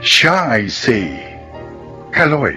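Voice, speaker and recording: A Filipino man announces a radio drama with formal, dramatic delivery. His medium-pitched voice moves in lively swings over clearly audible music. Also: extremely slow. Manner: formal, declamatory. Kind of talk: scripted, dramatic narration.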